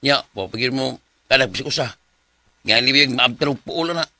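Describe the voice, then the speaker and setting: A man's voice: mid-range voice, brisk, natural voice. A man with a Cebuano Filipino accent playing a chummy, teasing office friend in a radio drama. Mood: nagging, teasing. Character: chummy, nosy male office buddy, teasing and frank.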